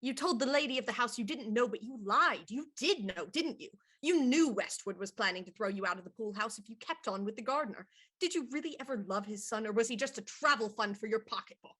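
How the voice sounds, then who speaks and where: A woman acts out a shrewd inspector in a radio murder mystery. The voice is fairly high and the delivery pointed and theatrical, probing like an interrogation with every phrase. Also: lively intonation, fairly quick, a bit bright, husky. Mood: accusing.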